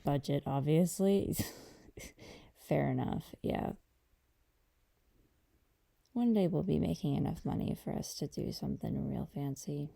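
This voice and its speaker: A woman playing a gentle, affectionate girlfriend in an intimate ASMR roleplay, talking softly and hesitantly to a partner. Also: heavily acted. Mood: relaxed, affectionate. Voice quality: dark, creaky low voice. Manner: soft, hesitant, unhurried conversational delivery.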